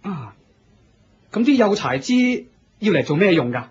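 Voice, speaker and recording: A man's voice: high-pitched, big pitch swings, unhurried, slightly rough, lo-fi sound, heavily acted. A man with a Hong Kong Cantonese accent playing an eager, naive young novice in a radio drama, hesitant, questioning and deferential. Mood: curious. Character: eager, naive young male novice of a fire-worship sect.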